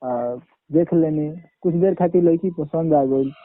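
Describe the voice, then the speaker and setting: A man's voice: a little high, measured pace. A young man with a Bhojpuri accent being interviewed informally over the phone, answering in a defensive, pleading way. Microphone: phone line. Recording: roomy, tinny phone call.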